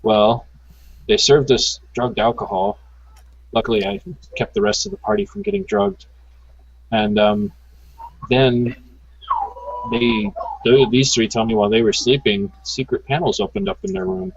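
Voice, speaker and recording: A man playing Muriel, a deep-voiced woman adventurer. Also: sing-song, measured pace, gravelly, long pauses, dull audio, slight background noise. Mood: earnest.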